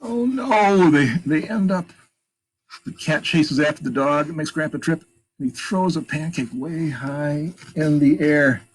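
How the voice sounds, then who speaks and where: A man with a gravelly, husky voice reads a picture book aloud to children, pausing to point out pictures and ask questions. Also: fairly high pitch, a little hiss or hum, low-quality recording. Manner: animated, emphatic read-aloud delivery. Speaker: children's storytime reader. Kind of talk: reading a picture book aloud with chatty asides.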